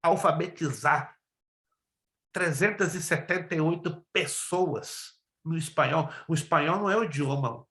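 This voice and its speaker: A man's voice: a little high, a little slow, a bit bright. Emphatic Brazilian male pastor, roomy echo. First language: Spanish. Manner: emphatic, exhorting, repeats phrases, puts questions to listeners. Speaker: pastor teaching a theology class. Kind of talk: preacherly lesson with personal testimony. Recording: roomy, echoing sound.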